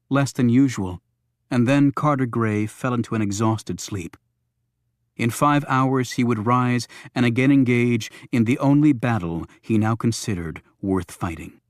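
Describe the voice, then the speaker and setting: A man's voice: medium pitch, no room sound, clean studio sound. A man reading scripted thriller narration as an audiobook narrator.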